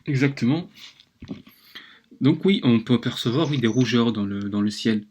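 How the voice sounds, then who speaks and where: A man's voice: mid-range voice, moderate pace, background noise. A man asking about a religious question, polite but mildly insistent. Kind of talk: conversation.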